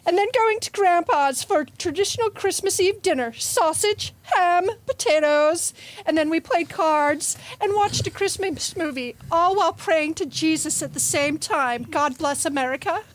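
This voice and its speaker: High-voiced woman in light conversation. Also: normal speed, low-quality recording.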